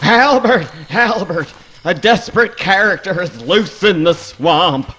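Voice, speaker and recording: A man acts a doleful cartoon porcupine in a comic radio play. The voice is high and husky, dragging slowly through swamp dialect. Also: expressive, bright, forceful tone, soft background music, heavily acted. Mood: glum, warning. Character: glum, slow, grumbling male porcupine.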